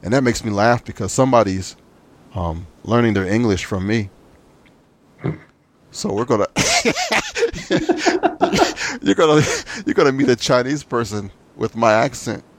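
Low-voiced Southern US man, casual podcast host. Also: moderate pace. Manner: informal, appreciative. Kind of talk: casual interview chat.

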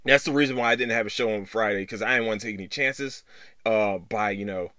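A man hosting a casual solo podcast, talking in a rambling, halting way with repeated words and loose swearing. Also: lowish voice, sharp tone, dry recording, clean studio sound. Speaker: podcast host.

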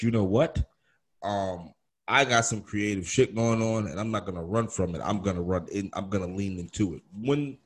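A man's voice: fairly low pitch, husky. A man co-hosting an interview, asking long, thoughtful, winding questions.

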